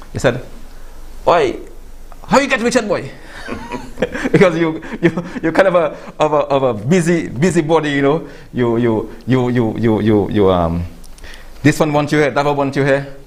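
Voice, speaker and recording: A man hosting a studio sports talk show, chatty and laughing, often repeating words as he introduces his guest. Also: medium pitch.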